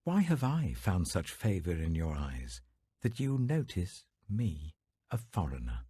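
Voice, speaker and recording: A man's voice: low voice, sing-song, a little slow, mellow, husky, no room sound, heavily acted. A British man voicing a devoted, humble young foreign widow in an audiobook scripture story, earnest, pleading and reverent. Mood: humble gratitude.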